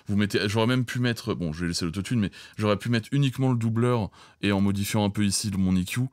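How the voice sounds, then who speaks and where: A man's voice: lowish voice, quick pace, a little mellow, dry recording. A man teaching audio mixing in an informal, colloquial way, speaking directly to viewers into a close microphone. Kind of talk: informal spoken tutorial.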